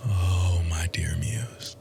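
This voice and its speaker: A man voicing an ancient, possessive immortal lover in an ASMR roleplay, hushed, breathy and lingering. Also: low voice, fairly even intonation, very slow, loud music behind the voice, pitched below the performer's own voice, full character voice. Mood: tender obsession.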